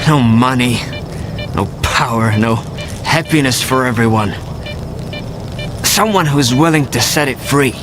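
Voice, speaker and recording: An actor playing a weary, fatalistic male guide in a video game cutscene, speaking in a deliberate, reflective way. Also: medium pitch, background noise, natural voice. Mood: weary, earnest.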